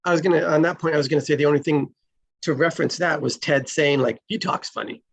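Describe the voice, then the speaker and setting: A man's voice: on the high side, steady tone, quick pace, gravelly. A man chatting casually in a group discussion about a script.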